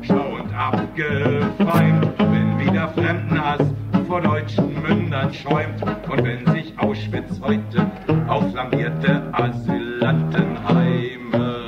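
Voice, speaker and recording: Slow male protest singer over loud guitar. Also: a little high, fairly even intonation. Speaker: singer-songwriter. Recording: distant, dull, muffled song recording. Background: loud acoustic guitar music.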